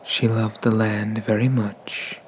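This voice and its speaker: A man playing a haughty, vengeful dragon god in an ASMR roleplay, speaking with deliberate, disdainful menace and often slipping into whispers. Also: lowish voice, flat delivery, a little hiss or hum, rough recording. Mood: bitter, wistful.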